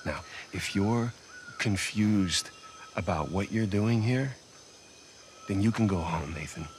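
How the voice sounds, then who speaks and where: An American actor playing a stubborn, sardonic middle-aged treasure hunter and older brother in a video game, with a gravelly voice. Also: male voice, fairly low pitch, animated, takes it slowly, slight background noise. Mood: intense, defiant. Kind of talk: naturalistic cinematic game acting.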